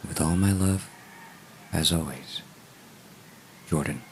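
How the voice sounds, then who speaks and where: A man playing a tender, reassuring lost lover who speaks through a letter in an audio drama, gentle and intimate. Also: extremely low pitch, slow pace, a little hiss or hum, full character voice. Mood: consoling, loving.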